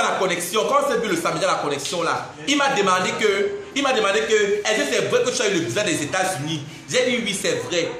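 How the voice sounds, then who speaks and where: A man's voice: high-pitched, bright, forceful tone. A man in his twenties with a Cameroonian French accent, ranting in a live video monologue, indignant and mocking, laughing between his jabs. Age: 20s. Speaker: social media personality. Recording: very echoey room.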